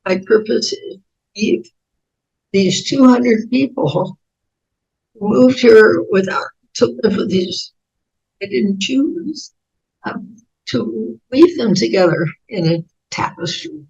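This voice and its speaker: An 88-year-old American woman with a raspy voice, telling reflective personal stories as an interview guest in halting, thoughtful phrases. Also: fairly high pitch, animated, a little mellow, highs cut off. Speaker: interview guest, author and speaker.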